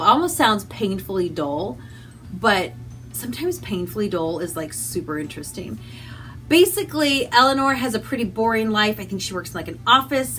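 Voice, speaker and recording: A woman chatting casually as a book vlogger, animated and rambling, with self-deprecating asides. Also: fairly high pitch. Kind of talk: casual chatty vlog to camera.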